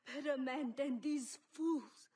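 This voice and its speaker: A woman playing a proud, wounded pirate queen, grave and defiant, with a solemn, halting delivery in a video game cutscene. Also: high-pitched, darkish tone, recorded from a distance. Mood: weary pride.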